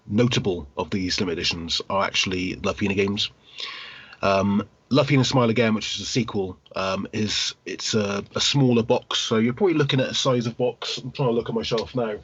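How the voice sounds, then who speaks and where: A man co-hosting a casual gaming podcast, husky, rambling and qualifying his points as he goes. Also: on the low side, fairly bright tone, dry recording. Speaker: podcast co-host, game collector. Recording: slightly muffled podcast audio.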